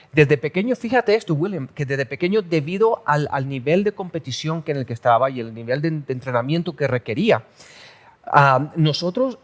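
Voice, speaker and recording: A middle-aged man from the Canary Islands, a pastor telling his life story in a relaxed interview, talking with many restarts and little jokes. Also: fairly high pitch. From Canary Islands, Spain. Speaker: pastor and guest. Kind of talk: casual testimony interview.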